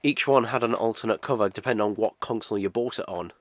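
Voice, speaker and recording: A man's voice: fairly low pitch, fast talker. Nasal British guy with a cold. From United Kingdom. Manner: rambling, lots of uhs and restarts. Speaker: video game reviewer. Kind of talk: casual unboxing review monologue.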